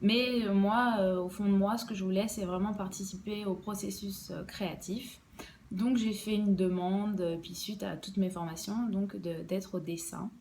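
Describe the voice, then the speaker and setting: A woman's voice: mid-range voice, steady tone, moderate pace, darkish tone, a little hiss or hum. A young woman, an embroidery designer, explaining her work in a casual podcast interview, hesitant and full of fillers and restarts.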